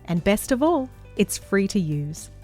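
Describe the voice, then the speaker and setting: A woman narrates a children's read-aloud with music playing behind her. Her pitch moves up and down in an animated way, while her pace stays unhurried and expressive. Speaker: children's story reader and book club host.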